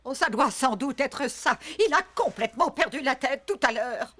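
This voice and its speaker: A woman's voice: high-pitched, lively intonation, moderate pace, a bit bright, a little hiss or hum. A woman voicing a fussy, stern schoolteacher in a French cartoon, theatrical and emphatic. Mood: concerned. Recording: music behind the voice.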